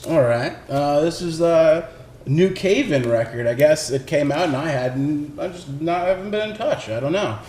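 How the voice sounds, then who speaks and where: A man chatting casually and unscripted about his picks, rambling and halting as he finds his words. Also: a little high, animated, a little mellow. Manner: halting, rambling, laid-back phrasing. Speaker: recording engineer presenting record picks. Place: indoors in a record shop. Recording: roomy echo, faint background noise.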